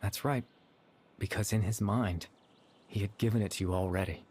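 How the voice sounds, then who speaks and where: An actor playing a gentle, sorrowful young man in a video game, speaking softly and hesitantly with wistful remorse. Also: on the low side, fairly even intonation, normal speed, a little hiss or hum, lightly acted. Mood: calm, explaining. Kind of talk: dramatic video game dialogue.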